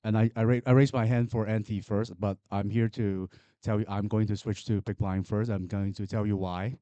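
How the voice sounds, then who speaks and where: A man from Hong Kong, Chinese as his first language, speaking English with a Hong Kong accent from the floor of a conference, halting and repeating words as he builds to emphatic points. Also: fairly low pitch, flat delivery, mellow, no echo, muffled recording. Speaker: audience member.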